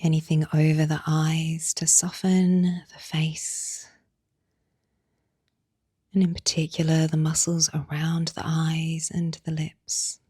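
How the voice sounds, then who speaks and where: A woman guiding a yoga nidra meditation, speaking softly and soothingly in a breathy voice with long pauses between phrases. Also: low-pitched, level tone, dry recording, studio quality. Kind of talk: guided meditation narration.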